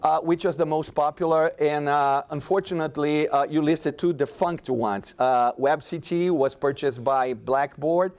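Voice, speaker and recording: A man's voice: fairly high pitch, measured pace, hardly any pauses, a little mellow. Man presenting at a conference. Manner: conversational, many restarts and fillers. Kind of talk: conference panel talk.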